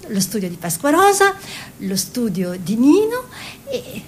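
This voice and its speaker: A woman's voice: wildly swooping pitch, unhurried. An actress playing a spirited, plain-spoken peasant-born woman painter in a conversational, dreamy piece of theatrical radio storytelling. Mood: reminiscing. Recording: noisy with low rumble.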